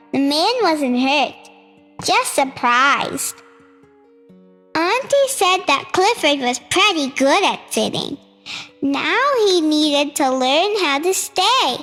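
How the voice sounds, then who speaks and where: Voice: high voice, sharp tone. A young child reading a children's storybook aloud as a storytime host. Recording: reverberant hall sound with music. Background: music.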